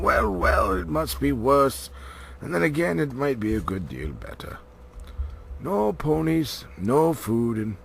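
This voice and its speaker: A man voicing a commanding old wizard in a storybook, emphatic, urgent and brisk. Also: a little high, vocal fry, pitched above the performer's own voice, heavily acted. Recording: noticeable background noise.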